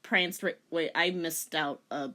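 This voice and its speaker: A woman's voice: low voice, steady tone, measured pace, sharp tone. A woman giving a dramatic reading of a story as commentator, with wry, mocking asides. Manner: sarcastic, mocking.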